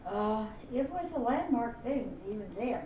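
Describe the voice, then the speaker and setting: An older woman from Florida looks back on her school years in a plain, reflective way, her voice mellow and relaxed. The recording is echoey, and she sits some way from the mic with a faint hiss behind her. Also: fairly high pitch, a little slow, recorded from a distance. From Miami, Florida. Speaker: interviewee. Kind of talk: oral history interview.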